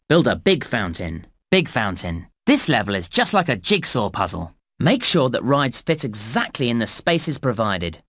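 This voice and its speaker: An eager, helpful theme park guide for a children's computer game. His delivery is cheery and very animated, swooping up and down in pitch with clear enunciation. The recording is close and dry. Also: no room sound. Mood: bright, instructional, then helpful, explaining. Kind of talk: scripted children's game narration.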